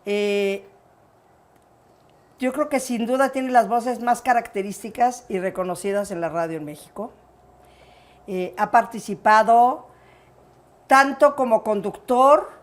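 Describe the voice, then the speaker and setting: A woman's voice: fairly high pitch, a little slow, long pauses. A woman with a Mexican accent hosting a personal interview in an effusive, affectionate manner. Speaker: interview show host.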